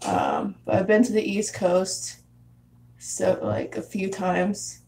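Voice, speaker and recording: A woman in her twenties from San Diego, chatting casually with viewers as a vlogger, laughing often and filling pauses with "um" and "like". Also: mid-range voice, huge pitch swings, a little mellow, slight background noise. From San Diego, California. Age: 20s. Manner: chatty, frequent laughs and fillers. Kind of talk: casual live chat reading comments. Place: house in Arizona.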